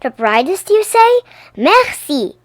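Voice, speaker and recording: A woman's voice: very high-pitched, big pitch swings, takes it slowly, dry recording, voice pushed up, heavily acted. A woman voicing an eager, curious little girl in a storybook, exclamatory and adventurous.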